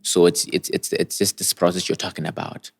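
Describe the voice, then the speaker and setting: A man's voice: sits low, level tone, brisk, creaky, breathy edge. A man hosting a podcast interview, asking casual questions and affirming his guest's answers. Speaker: podcast host.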